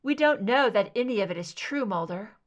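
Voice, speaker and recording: A woman voicing a skeptical, guarded female investigator in an audiobook, measured and matter-of-fact. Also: mid-range voice, animated, dull audio, close to an everyday voice. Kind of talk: fan fiction audiobook dialogue.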